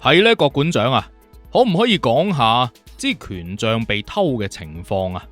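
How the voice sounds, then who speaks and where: 一個男人用粵語有聲小說嘅演法，扮演一個自信、直率、咄咄追問又冇耐性嘅刑偵顧問。. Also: male voice, mid-range voice, sing-song, moderate pace, a bit bright, faint music behind. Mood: composed, assured. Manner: assertive, questioning, direct. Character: self-assured, blunt detective consultant, probing and impatient. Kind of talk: Cantonese audiobook character acting.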